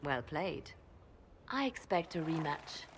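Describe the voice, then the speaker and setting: A woman's voice: low voice, big pitch swings, takes it slowly, a little hiss or hum, exaggerated voice. An actress playing a haughty, competitive noblewoman diplomat in fantasy game dialogue, prim and formal. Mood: indignant, proud. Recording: game audio with clear background ambience.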